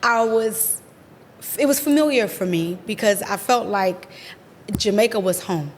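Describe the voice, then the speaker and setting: A woman with an American accent, a guest in an interview, telling her own story in an expressive, animated way. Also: mid-range voice, a little slow, long reverb tail, a little hiss or hum. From USA.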